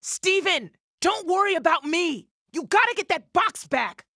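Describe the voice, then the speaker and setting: A loyal female gem builder for a cartoon game. She is brash and hearty, with a high, forceful voice and punchy, folksy delivery. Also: sing-song, a little slow, no room sound, clean studio sound, exaggerated voice. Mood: urgent. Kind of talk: animated game cutscene acting.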